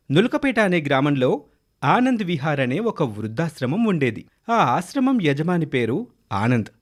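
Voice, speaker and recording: A man reads a scripted children's tale as its storyteller. His voice sits a bit high and moves about in lively swings of pitch.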